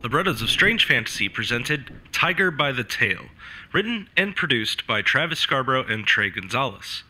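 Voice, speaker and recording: A man's voice: mid-range voice, very animated, moderate pace, bright, forceful tone, low-quality recording. A man reading the closing credits as announcer for a radio drama.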